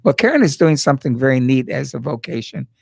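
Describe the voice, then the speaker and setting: A man's voice: medium pitch, very animated, quick pace, vocal fry, a little mellow, breathy edge, no echo, clean studio sound. An older man hosting a radio talk show, chatting in a folksy, joking way. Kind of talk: casual radio interview. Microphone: studio microphone.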